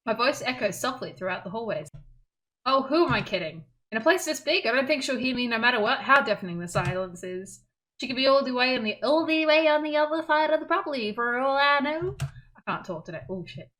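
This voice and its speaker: Woman game streamer, fairly high voice, animated intonation, story reading, casual banter. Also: a little fast. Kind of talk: reading visual novel aloud with casual banter.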